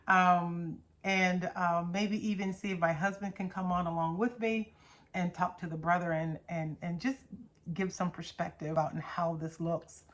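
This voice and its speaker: Middle-aged woman, slightly low voice, earnest teacher. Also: fairly even intonation, measured pace. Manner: earnest, emphatic, with rhetorical questions and repetition. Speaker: host of a faith teaching channel for women. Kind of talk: casual talk to camera with preaching turns.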